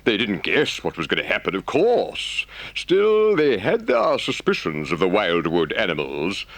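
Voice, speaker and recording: A man's voice: fairly low pitch, huge pitch swings, a little slow, gravelly, no room sound, voice pushed up, heavily acted. A man voicing an earnest, indignant water rat in an audiobook, emphatic and dramatic as he speaks to a friend. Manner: emphatic, scolding, dramatic storytelling. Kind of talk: audiobook character voice.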